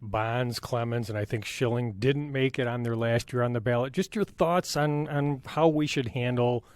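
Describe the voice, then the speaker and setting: A man's voice: mid-range voice, normal speed, a little mellow, clean tone, no room sound. A man hosting a conversational interview, putting questions to his guest. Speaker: interview host.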